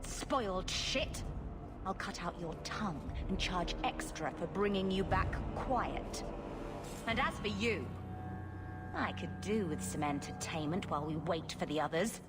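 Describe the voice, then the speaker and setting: A woman's voice: on the low side, expressive, measured pace. A woman playing a brutal, sneering mercenary in a video game, taunting and menacing. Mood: angry, menacing. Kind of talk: video game cutscene acting. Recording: long reverb with music behind.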